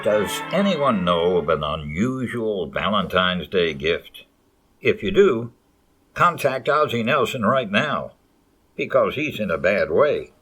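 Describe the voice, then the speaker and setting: A man playing an earnest 1940s radio announcer and pitchman, with a husky voice. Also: very animated, takes it slowly, a bit bright, soft background music, full character voice. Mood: mock concern.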